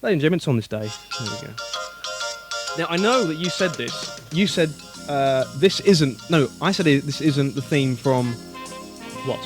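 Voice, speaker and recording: A man with a somewhat high voice presents a radio show in a casual, loose opening style. Quiet music plays underneath him. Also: animated, normal speed.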